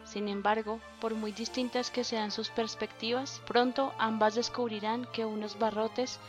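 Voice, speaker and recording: A woman reading a book synopsis aloud as scripted narration, in a dramatic, measured way. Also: on the high side, little pitch movement. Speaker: podcast contributor reading a synopsis. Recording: read over background music.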